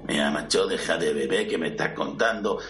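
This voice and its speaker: A man's voice: low voice, brisk, fairly bright tone. A man with a Spain Spanish accent playing a boastful, irritable, foul-mouthed fallen conqueror in an amateur parody audio drama, blunt and demanding. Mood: incredulous, impatient. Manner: blunt, demanding, incredulous, swaggering. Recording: roomy, distant mic, music underneath.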